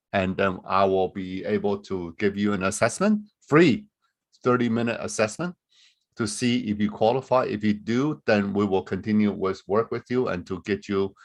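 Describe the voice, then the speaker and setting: A man presenting a live online webinar as a recruiter, explaining things in a loose, conversational way over a video call. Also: fairly low pitch.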